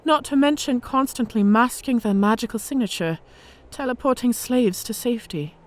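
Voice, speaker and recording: An actress playing a cunning, vengeful dragon mage disguised as a centurion, speaking in a theatrical, formally articulate way in a fantasy audio drama. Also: female voice, fairly high pitch, moderate pace, lightly acted. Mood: smug, composed.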